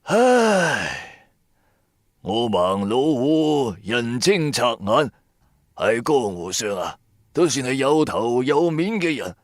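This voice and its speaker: Man voicing a sly old con-man, slow and drawling. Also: medium pitch, sing-song, no room sound, studio quality. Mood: rueful, boastful. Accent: Cantonese. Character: cunning old male con-man tomb robber.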